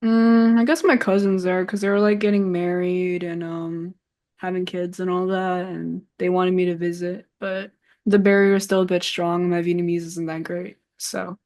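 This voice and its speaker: Hesitant young woman, slightly low voice. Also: little pitch movement, moderate pace, darkish tone. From San Francisco Bay Area. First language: Cantonese. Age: 20s. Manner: hesitant, lots of "like" and trailing off. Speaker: streamer guest. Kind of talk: casual interview chat.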